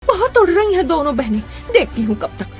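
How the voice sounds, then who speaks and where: Woman playing a bitter, envious, animated mother. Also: extremely high pitch, a little fast, soft background music. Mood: bitter, scheming. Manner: indignant rhetorical questioning. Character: bitter, envious mother, middle-aged and defensive.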